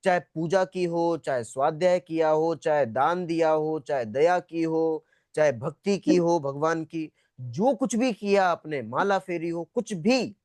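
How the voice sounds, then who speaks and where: A man's voice: fairly high pitch, measured pace, darkish tone, dry recording. A man teaching an online spiritual class in a conversational, repetitive way, putting questions to students and often checking that they follow. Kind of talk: interactive lecture, questioning students. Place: online video call.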